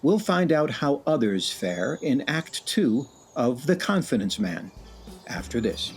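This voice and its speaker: Radio play narrator: theatrical, knowing, richly varied intonation, music bed beneath. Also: male voice, medium pitch, very animated. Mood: wry, knowing. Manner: wry, deliberate, theatrical. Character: wry, measured male storyteller narrator.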